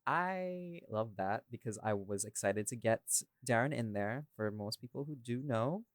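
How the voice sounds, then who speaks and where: A man's voice: medium pitch, expressive, measured pace, no echo, studio quality. A man hosting a casual behind-the-scenes podcast chat in a rambling, informal way. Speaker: podcast host and audio creator.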